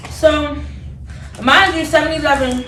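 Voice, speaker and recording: Casting: young woman, fairly high voice, casual animated storyteller, roomy noisy recording. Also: a little slow. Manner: animated retelling with quoted dialogue. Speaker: vlogger. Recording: roomy, noisy, distant microphone.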